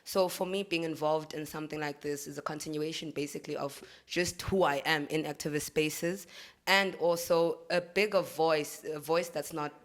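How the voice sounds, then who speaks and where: A woman's voice: low-pitched, fairly even intonation, normal speed. A woman on a discussion panel who works as an activist, speaking frankly and confidently with joking asides. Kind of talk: casual panel talk.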